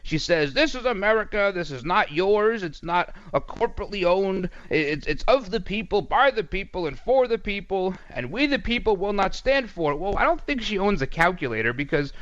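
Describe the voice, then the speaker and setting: A man's voice: high-pitched, big pitch swings, moderate pace, few pauses, fairly bright tone, no echo, muffled recording. A male radio talk show host delivering a sarcastic, mocking political commentary monologue.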